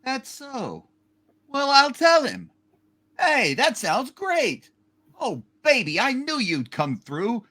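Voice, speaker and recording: A man's voice: very high voice, huge pitch swings, slow pace, bright, forceful tone, exaggerated voice. A man playing a brash, jovial young businessman, boastful and hammy, in an amateur radio play reading. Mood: brash, excited.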